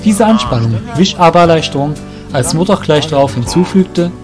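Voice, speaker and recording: A man's voice: fairly high pitch, lively intonation, fairly quick, over music. A man reading scripted narration for a fantasy audio drama.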